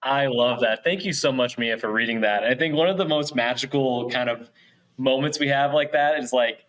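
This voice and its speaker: A man's voice: medium pitch, normal speed, fairly bright tone, rough recording. A man hosting a casual, upbeat online event in a chatty, encouraging, informal way. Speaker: show host.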